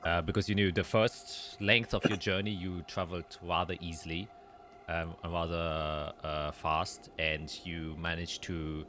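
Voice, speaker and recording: A man narrating a tabletop roleplaying game as game master, descriptive and halting as he thinks aloud. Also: sits low, level tone, bright, forceful tone, a little hiss or hum.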